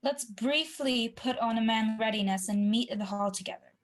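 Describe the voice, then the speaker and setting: A woman's voice: on the high side, a little fast, lightly acted. A young woman acting an ambitious, guilty usurper king in a Shakespeare radio play. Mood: impassioned. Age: young adult. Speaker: student actor.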